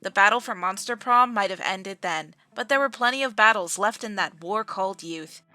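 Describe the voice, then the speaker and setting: A woman's voice: medium pitch, moderate pace, pushed and bright, dry recording. A woman reading scripted narration for a visual novel style video game dub.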